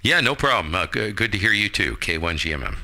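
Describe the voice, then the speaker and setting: A man with a deep voice and a strikingly bright, punchy tone. He talks casually as an amateur radio operator hosting a live stream. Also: sing-song, quick pace.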